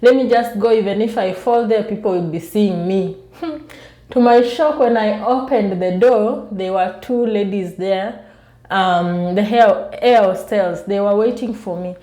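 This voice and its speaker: A soft-spoken woman with a Kenyan accent chats casually to her viewers, telling personal stories in a self-amused way. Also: medium pitch, measured pace, echoey room. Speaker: YouTube vlogger. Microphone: newly bought external microphone.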